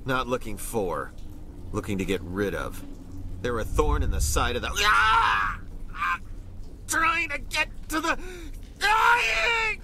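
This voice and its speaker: An actor playing a tormented, defiant colonist man in a video game, his halting speech strained by pain. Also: a little high, very animated, creaky, pushed and bright, raised voice, slight background noise, full character voice. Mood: pained, straining. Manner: strained, halting, breaking into pained cries.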